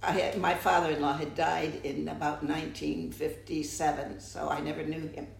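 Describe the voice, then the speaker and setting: An older American woman with a deep, gravelly, creaky voice, slightly low for a woman. She tells her story in a reflective, halting way, restarting her phrases often. Her voice sounds roomy and echoey. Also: flat delivery. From United States. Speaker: interviewee. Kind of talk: oral history interview. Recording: echoey room.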